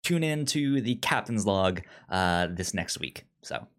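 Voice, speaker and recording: A man co-hosting a podcast in casual chat, speaking in an informal, halting way. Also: sits low, animated, fairly bright tone. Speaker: podcast co-host.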